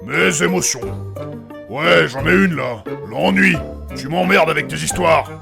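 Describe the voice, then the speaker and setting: A man playing a blunt, impatient, foul-mouthed grump in a comic fantasy audio drama, gravelly and mocking. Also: on the high side, unhurried, music in the background. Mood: bored, exasperated. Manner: mocking, deadpan, crude.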